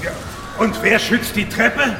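An actor playing a fanatical, resigned preacher with a halting, solemn way of speaking, in a German radio drama. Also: male voice, sits high, over music. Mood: grim. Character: fanatical, resigned preacher staying with his doomed flock. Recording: long reverb, faint noise.

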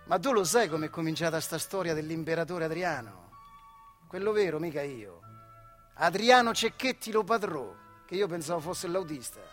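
A man's voice: on the high side, animated, normal speed, a bit bright, soft background music. A male actor with a central Italian accent from the Marche, telling a theatrical monologue in colloquial, emphatic style and speaking straight to the listener. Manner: colloquial, addresses listener directly, emphatic repetitions. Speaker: stage actor and storyteller. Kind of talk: theatrical dialect monologue storytelling.

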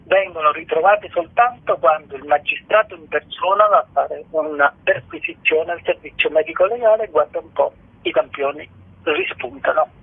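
Man, fairly high husky voice, earnest halting delivery, interviewed author on a narrow phone line. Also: expressive. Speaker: author interviewed about own book. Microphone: telephone.

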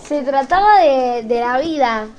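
High-voiced young Argentine woman, halting. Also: lively intonation, a little mellow. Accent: Rioplatense Argentine. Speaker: radio show presenter. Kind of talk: casual radio chat. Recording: faint background noise.

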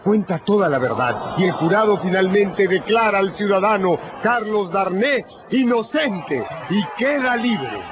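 A man's voice: high voice, big pitch swings, unhurried, hardly any pauses. A man narrating a radio drama from a script in a dramatic style. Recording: dull, noisy radio recording.

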